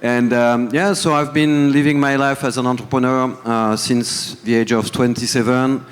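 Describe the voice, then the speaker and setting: A man from France with a French accent, speaking as a panel guest in a relaxed, joking way about his own life. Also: medium pitch, moderate pace, clean tone. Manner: self-deprecating, joking. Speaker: panel guest, entrepreneur running for office. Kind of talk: casual panel talk. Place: conference hall. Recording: reverberant conference hall.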